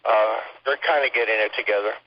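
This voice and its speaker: A man's voice: fairly low pitch, a little fast. A man chatting casually as a caller on a telephone conference, answering questions about his situation. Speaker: hospital patient, caller. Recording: muffled lo-fi telephone conference line.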